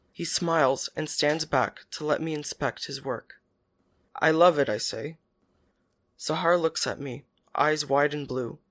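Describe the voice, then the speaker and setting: A man reads a first-person story aloud in a quiet, even manner. His voice sits a bit high for a man. He keeps the telling steady and unhurried. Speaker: story narrator.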